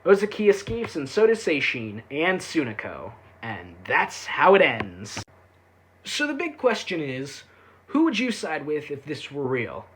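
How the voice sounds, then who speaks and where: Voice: mid-range voice, wildly swooping pitch, unhurried, fairly bright tone. A reviewer giving a casual, sarcastic recap with irreverent, sweary delivery. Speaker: YouTube anime reviewer.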